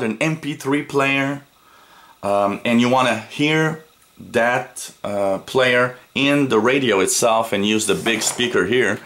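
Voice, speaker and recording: A man with a fairly low voice talks through an informal product review. He explains features step by step, pausing with hesitations and often repeating a phrase before going on. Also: a bit bright, a little hiss or hum.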